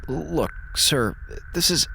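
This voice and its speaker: A man's voice: on the high side, expressive, slow pace, creaky, heavy background noise, heavily acted. An actor playing a flustered subordinate crewman in an audio drama, hesitant and pleading, stammering. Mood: flustered, anxious.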